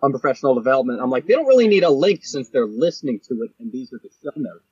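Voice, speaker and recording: A man's voice: medium pitch, big pitch swings, rapid-fire, dark tone, slightly rough, no echo, dull audio. A man co-hosting a podcast, talking in casual, unscripted chat. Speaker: podcast co-host.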